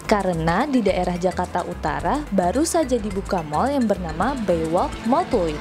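A woman's voice: on the low side, lively intonation, normal speed. A woman giving an upbeat, chatty scripted voice-over for a children's entertainment show, speaking straight to young viewers. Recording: voice-over laid over clear background music.

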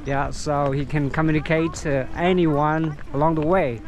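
A man with a Vietnamese accent, a tour guide vlogging casually while trekking outdoors, speaking halting English and searching for words, recorded on an action camera. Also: fairly high pitch, steady tone. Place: outdoors on a mountain trail. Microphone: action camera carried along.

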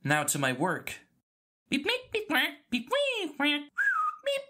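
A man's voice: very high-pitched, wildly swooping pitch, takes it slowly, sharp tone, heavily acted. A man playing a small plucky robot in a Shakespearean drama, speaking theatrically and imitating beeps. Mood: chirping.

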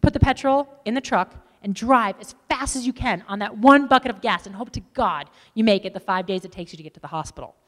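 A woman in her thirties giving a motivational keynote speech on stage, speaking deliberately and emphatically with dramatic pauses. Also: mid-range voice, fairly bright tone. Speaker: climbing expedition leader. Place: large auditorium stage with wooden floor. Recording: echoey hall with long reverb.

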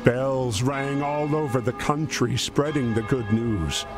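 A man's voice: mid-range voice, takes it slowly. A man giving solemn, scripted storybook narration for a war story game. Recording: music behind the voice. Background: music.

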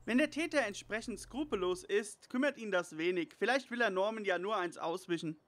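Bright, cheeky brainy boy detective played by an actor. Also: mid-range voice, a little fast, close to an everyday voice. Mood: matter-of-fact. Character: brainy teasing teenage boy detective Karl. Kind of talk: parody detective audio drama.

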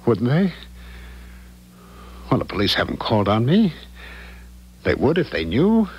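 An actor playing a guilty husband with a secret in a 1970s radio drama, dramatic and on edge. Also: male voice, mid-range voice, wildly swooping pitch, a little slow, husky, muffled recording, exaggerated voice. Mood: alarmed, panicky. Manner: dramatic, swinging, agitated. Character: guilty, rattled husband hiding a killing. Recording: hissy 1970s radio recording.

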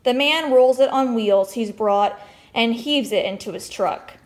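High-pitched woman reading a storybook aloud. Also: measured pace. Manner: expressive, questioning, addressing children. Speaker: teacher reading aloud to fifth graders. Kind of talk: storybook read-aloud with explanatory asides.

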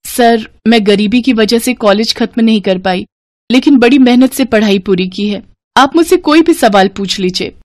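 A woman's voice: on the high side, normal speed, dry recording, clean studio sound, lightly acted. Female narrator as humble, determined young orphan heroine for a cartoon fable, earnest and pleading. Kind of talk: animated moral story character acting.

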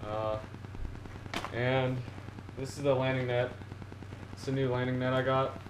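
A teenage boy talking casually about his fishing baits from a couch, filling the gaps with ums and restarting his sentences. His voice stays level and flat, of middling pitch. The small room bounces the sound back, and a steady hiss sits underneath. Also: measured pace. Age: teens. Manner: rambling, many ums and restarts, enthusiastic about gear. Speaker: fishing vlogger. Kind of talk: casual gear haul video. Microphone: camera on the floor in front of him. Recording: echoey room with a steady noise floor.

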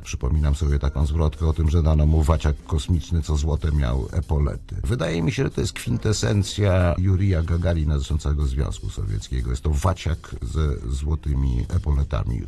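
A historian argues his case with dry sarcasm and firm emphasis. His voice sits extremely low, with a gravelly, creaky edge and a dark, mellow tone. He presses his points hard in a live debate. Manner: sardonic, emphatic, argumentative. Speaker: historian guest. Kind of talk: debate on a TV talk programme.

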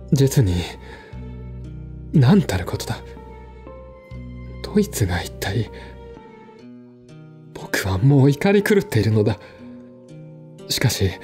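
A man voicing an agitated, indignant gentleman in a mystery radio drama, with emphatic, exasperated, old-fashioned educated phrasing. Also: fairly high pitch. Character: agitated, indignant male host of a mystery gathering. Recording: music behind the voice.

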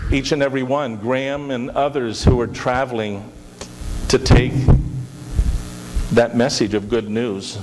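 A man's voice: mid-range voice, steady tone, unhurried, darkish tone. A middle-aged man, a pastor, speaking earnestly in prayer and announcements, often repeating words as he goes. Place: large church sanctuary. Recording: reverberant church hall with hiss.

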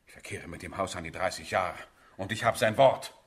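An actor playing a hot-tempered, gruff middle-aged father in a German radio drama. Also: low voice, fairly quick. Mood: indignant. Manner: gruff, scolding.

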